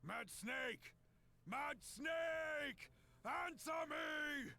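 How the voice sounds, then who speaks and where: A man playing a furious, burly army general in a video game, gruff and barking orders with curses. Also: extremely high pitch, extremely slow, very noisy, heavily acted. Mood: urgent, desperate. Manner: barking, forceful, cursing commands. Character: furious, burly male army general, brash and foul-mouthed. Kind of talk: dramatic video game acting.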